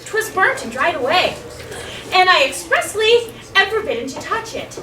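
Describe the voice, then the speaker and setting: A woman playing a bossy, blustering male lord on an amateur stage, shouting at servants and laughing between lines. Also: extremely high pitch, sing-song, moderate pace, slight background noise, full character voice. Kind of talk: amateur stage acting of verse. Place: stage before a live audience. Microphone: distant room mic. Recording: echoey, distant hall recording with loud crowd.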